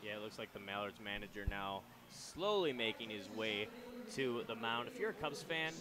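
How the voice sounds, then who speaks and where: A young man giving relaxed, chatty radio color commentary on a baseball game from a ballpark booth. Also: lowish voice, normal speed. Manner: casual, chatty, many fillers and restarts. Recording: crowd noise from the ballpark.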